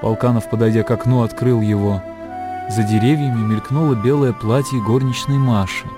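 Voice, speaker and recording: A man narrating a literary radio drama with measured, scripted storytelling delivery. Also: mid-range voice, steady tone. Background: music and crickets.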